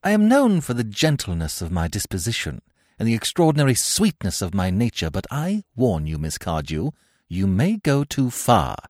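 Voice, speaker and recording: A man's voice: medium pitch, animated, moderate pace, a little mellow, dry recording, studio quality, pitched above the performer's own voice, lightly acted. A man voicing a haughty young society lady from the city, affectedly polite and satirical. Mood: disdainful.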